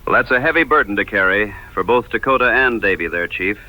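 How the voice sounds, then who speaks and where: A man's voice: on the low side, lively intonation, quick pace, bright, forceful tone, no echo, rough recording. A man playing a worried backwoods frontiersman, the hero's companion, in an audio drama, with folksy rural phrasing. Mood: sober.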